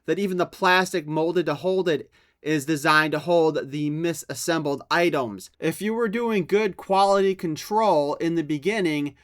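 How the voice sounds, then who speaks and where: A man's voice: fairly high pitch, moderate pace. A man giving blunt, sarcastic commentary in an irritated, sweary manner.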